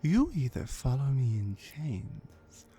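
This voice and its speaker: A woman playing a dominant, mean orc warrior in an ASMR roleplay, mocking and deliberate, with drops into breathy whispers. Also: very deep voice, big pitch swings, dark tone, exaggerated voice. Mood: menacing. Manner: menacing, mocking, slow and deliberate, often dropping to breathy whispers. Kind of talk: ASMR roleplay, slow dramatic monologue.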